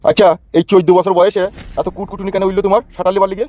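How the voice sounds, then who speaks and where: A man's voice: high-pitched, flat delivery, racing, highs cut off, natural voice. A man playing a bossy, crooked, foul-mouthed village politician in a cartoon comedy, blustering and commanding. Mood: stern, self-important. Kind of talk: Bengali cartoon comedy dialogue.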